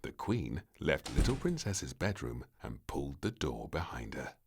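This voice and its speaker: Slow, hugely expressive male storyteller. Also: a bass voice. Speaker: storybook narrator. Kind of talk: expressive scripted children's story narration.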